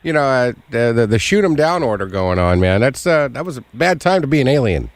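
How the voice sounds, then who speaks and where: A man's voice: mid-range voice, very animated, fairly quick, no echo. A man co-hosting a casual radio interview, jokey and colloquial, often repeating words as he starts a thought.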